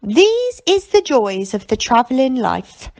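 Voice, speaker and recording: Woman voicing a folksy, animated fairground stallholder man. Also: a little high, slow pace, exaggerated voice. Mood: wistful. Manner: folksy dialect speech, marvelling.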